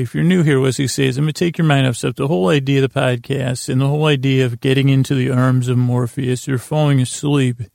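A man hosting a sleep podcast with a rambling bedtime monologue, halting and meandering, often interrupting himself and trailing off, in a creaky, gravelly voice. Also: mid-range voice, darkish tone, dry recording, clean studio sound.

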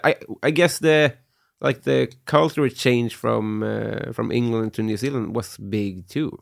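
A male interviewer who asks relaxed questions and keeps up brief agreeing murmurs. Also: mid-range voice, unhurried, vocal fry.

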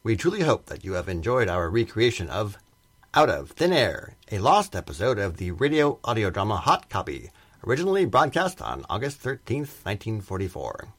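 A man's voice: on the low side, big pitch swings, moderate pace, slightly rough. A man working as a radio drama announcer, reading scripted credits in a formal, theatrical manner.

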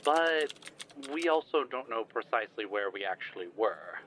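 A man's voice: on the low side, sing-song, normal speed, fairly bright tone. An actor playing a polite, flustered older male scientist in an audio drama, speaking formally with hesitant, wry phrasing. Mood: hesitant, earnest. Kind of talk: audio drama phone-call acting.

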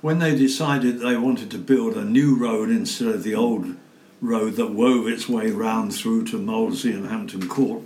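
A man's voice: lowish voice, steady tone, measured pace, hardly any pauses, darkish tone. A man, chatting as a podcast guest and telling stories with a cheeky chuckle. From England. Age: 90s. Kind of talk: casual chat. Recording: echoey kitchen with faint noise.

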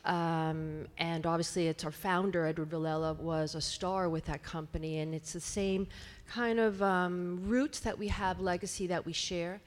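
A woman from Cuba speaking as a panel guest on a live stage. Her voice sits a little low, and she talks in a chatty way, laughing easily between thoughts. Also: fairly even intonation, normal speed, few pauses. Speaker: artistic director, panel guest. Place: stage before a live audience.

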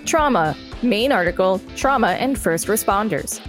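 An American woman reads an article aloud as a podcast co-host, at a medium pitch. Light music plays behind her voice, and the delivery is that of read-out reference text with some casual banter. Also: lively intonation, a little slow.

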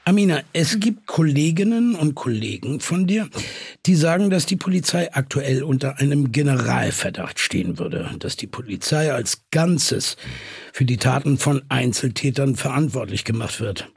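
An actor playing a probing, provocative male podcast interviewer with a husky voice, asking pointed questions with hesitant fillers. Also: mid-range voice, very animated, moderate pace, dry recording, studio quality, exaggerated voice. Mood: accusing. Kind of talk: acted interview in a scripted audio drama.

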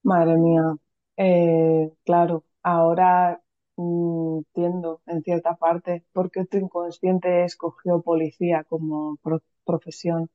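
A woman's voice: fairly low pitch, little pitch movement, slow pace, no echo. A woman hosting a podcast interview over a video call, chatty and enthusiastic. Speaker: podcast host. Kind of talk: casual interview. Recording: dull, lo-fi, dry sound.

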